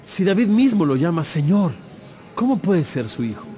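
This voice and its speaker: A man's voice: high voice, very animated, normal speed, mellow. A man acting an authoritative, composed holy teacher in a dramatized audio Bible, speaking solemnly and emphatically. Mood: teaching, emphatic. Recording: music playing behind.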